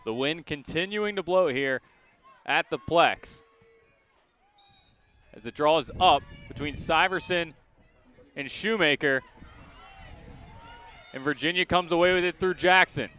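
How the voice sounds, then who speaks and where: A man calling live college lacrosse as a radio play-by-play announcer, in crisp short present-tense phrases, outdoors at a sports field. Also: a little high, unhurried, long pauses, bright, forceful tone, a little hiss or hum.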